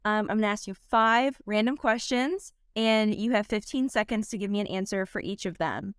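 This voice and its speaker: Young woman interviewer, bubbly and quick, clean dry sound. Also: mid-range voice, a bit bright, clear, resonant tone, no echo. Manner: cheerful, brisk, question-reading. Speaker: interview host. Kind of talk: friendly interviewer reading questions.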